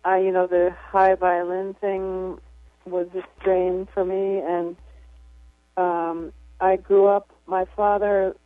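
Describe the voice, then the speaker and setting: Woman with a low voice on a phone line. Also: level tone, slow, dry recording. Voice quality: low speaking voice. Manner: thoughtful, hesitant with many fillers. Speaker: violist, radio guest. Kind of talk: casual interview chat. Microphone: phone call.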